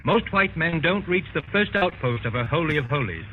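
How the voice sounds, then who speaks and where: A man's voice: fairly high pitch, expressive, brisk, lightly acted. A man playing a haunted, florid adventurer recounting his travels in a formal, dramatic 1930s radio drama style. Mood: grave, florid. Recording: tinny, noisy old radio recording.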